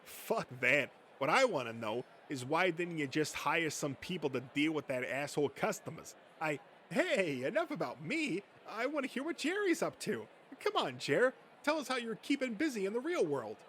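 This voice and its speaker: A man's voice: fairly high pitch, sing-song, normal speed, a bit bright, a little hiss or hum, voice pushed up. Man doing a crude, defiant young comic fan. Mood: pushy. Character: abrasive, foul-mouthed young adult male comic-shop fan.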